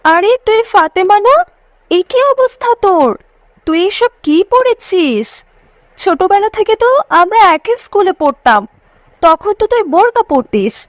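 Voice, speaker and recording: A woman's voice: extremely high pitch, very animated, moderate pace, no room sound. A woman voicing a pious, moralising young college girl in a cartoon story. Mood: surprised. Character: pious, scolding young college girlfriend Mariam.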